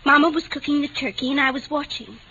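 An actress playing an earnest, excitable young girl in an old-time radio drama, with breathless, pleading, childlike delivery. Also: sits high, fast talker. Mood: earnest storytelling. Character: earnest, frantic young girl telling her story. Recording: dull old radio recording, music behind.

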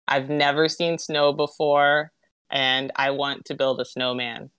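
A young woman from Southern California, a guest on a podcast, talking in a giggly, animated way. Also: extremely low pitch, unhurried, clear, resonant tone, dry recording. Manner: giggly and animated, with many fillers. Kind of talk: casual chat.

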